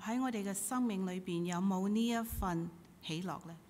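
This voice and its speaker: A woman's voice: mid-range voice, moderate pace, a little mellow, slight background noise. A middle-aged woman interpreting a sermon in emphatic, short phrases. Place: church hall pulpit. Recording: reverberant hall through PA.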